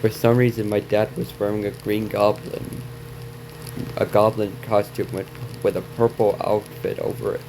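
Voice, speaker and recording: Guy w a lowish voice reading story text out loud, trips on words, loud sizzly hiss behind him. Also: level tone, vocal fry. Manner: halting reading, stumbling over words and repeating them. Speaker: reaction video host. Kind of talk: reading on-screen story text aloud with asides.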